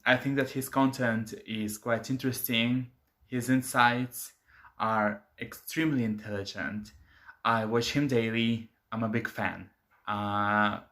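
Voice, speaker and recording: A man's voice: fairly low pitch, bright, forceful tone. A young man for whom English is a second language, hosting his own channel with hesitant commentary full of filler sounds. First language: not English. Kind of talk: casual commentary to camera.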